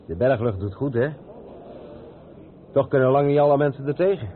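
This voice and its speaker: An actor playing an experienced, patient truck driver who explains things step by step in a radio play. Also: male voice, mid-range voice, moderate pace, close to an everyday voice. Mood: explaining, chatty. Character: experienced, patient long-haul truck driver showing the ropes. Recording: tinny, band-limited old radio recording with noise.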